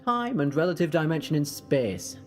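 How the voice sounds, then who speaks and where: Audio drama lead: male actor as chatty, cheeky alien time traveller, lightish pitch, very expressive delivery. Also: moderate pace, soft background music. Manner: chatty, animated, flippant. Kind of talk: fan sci-fi audio drama acting.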